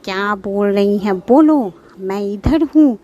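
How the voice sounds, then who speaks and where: A woman voicing a menacing, boastful old witch granny in a children's cartoon story, with cackles and drawn-out threats. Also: medium pitch, lively intonation, warm tone, dull audio. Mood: cackling, threatening.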